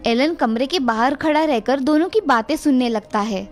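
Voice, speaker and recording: A woman's voice: high-pitched, normal speed, a little mellow, soft background music. A woman narrating a scripted children's fairy tale as storyteller.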